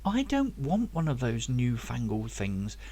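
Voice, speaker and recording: A man voicing a caring, homely, old-fashioned mother who gently scolds her son in an audiobook. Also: medium pitch, wildly swooping pitch, measured pace, breathy edge, voice pushed down, heavily acted. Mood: fussing, mildly indignant.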